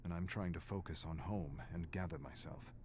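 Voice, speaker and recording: An actor playing a grave, weary scholarly archivist with a morbid fascination, narrating dark game records in a deliberate, brooding way. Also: male voice, low voice, background noise. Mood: strained, grave. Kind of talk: dramatic scripted game narration. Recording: clear music behind the voice.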